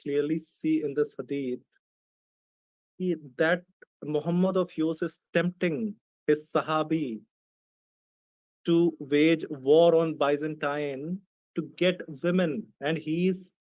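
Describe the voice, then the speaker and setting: Slow, deliberate Indian man debating. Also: a little high, steady tone, darkish tone, no echo, rough recording. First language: not English. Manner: confrontational, slow and deliberate, reads out texts. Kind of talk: online debate.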